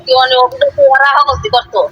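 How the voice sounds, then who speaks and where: A woman's voice: high voice, very animated, fast talker, pushed and bright, a little hiss or hum. A woman chatting casually on a recorded phone call.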